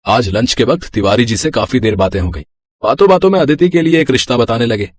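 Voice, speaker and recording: A man acts a practical middle-aged husband and father in a moral story cartoon. He is measured and reassuring, with a husky voice in a dry recording. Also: medium pitch, animated, dry recording, natural voice. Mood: reassuring, explaining. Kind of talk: animated Hindi moral story dialogue.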